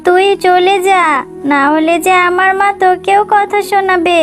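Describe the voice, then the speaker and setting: A woman's voice: very high-pitched, fairly even intonation, unhurried, soft background music. A woman voicing a meek, sad little girl fox in a children's cartoon, plaintive and pleading. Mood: downcast.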